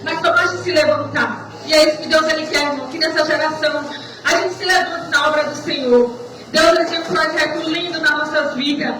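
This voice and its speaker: Brazilian female church preacher, earnest, repetitive, halting exhortation; recorded far from the mic with clear background noise. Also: high voice. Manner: earnest, repetitive, stammering delivery. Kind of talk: testimony sermon, exhortation. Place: church service indoors, distant microphone. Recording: echoey room, far mic, noisy.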